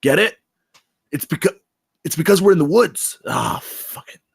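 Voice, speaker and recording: A whiny, jokey Bigfoot, acted out by a man for a comedy table read. The delivery is theatrical and melodramatic, pushed up high with wide swings, and the recording is dry. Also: lively intonation, normal speed, gravelly, slightly rough, no echo, exaggerated voice. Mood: jokey, awkward. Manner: theatrical, wailing when upset.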